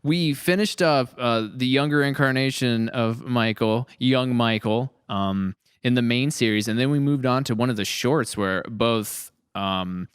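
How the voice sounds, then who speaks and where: A man's voice: medium pitch, a little slow, hardly any pauses, smooth, dry recording, studio quality. A man hosting a casual podcast chat, upbeat and jokey, holding his mic in hand. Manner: upbeat, jokey, lots of restarts. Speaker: podcast host. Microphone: handheld mic.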